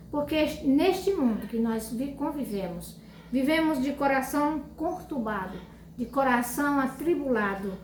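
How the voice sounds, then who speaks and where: An older woman giving a religious testimony, speaking slowly and fervently, with pauses and repetitions. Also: a little high, a little mellow. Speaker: lay preacher giving testimony. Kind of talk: informal religious testimony and Bible reading. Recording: echoey room, faint ambient noise.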